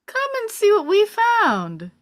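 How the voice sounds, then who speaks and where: Eerie, insistent soldier female actor, coaxing and repetitive, slow pace with swooping pitch. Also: huge pitch swings, heavily acted. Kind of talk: dramatic table read.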